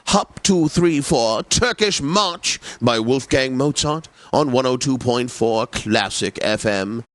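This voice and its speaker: Casting: smug, effete male classical DJ for a game radio station; unhurried, theatrical diction, dry studio sound. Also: medium pitch, dry recording. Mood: playfully theatrical. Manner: pompous, theatrical, unctuous, precisely enunciated. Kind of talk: scripted classical radio announcing, video game.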